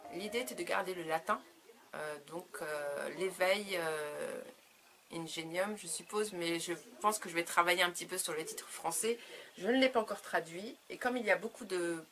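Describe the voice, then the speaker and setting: A woman speaking her native French as an author in an interview, reflective and halting as she searches for words. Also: fairly low pitch, fairly bright tone. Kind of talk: reflective interview answers about own work.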